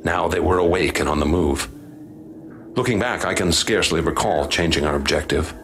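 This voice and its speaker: A man narrating and acting as expedition leader in a radio drama, grave and measured. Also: low-pitched, a bit bright, a little hiss or hum.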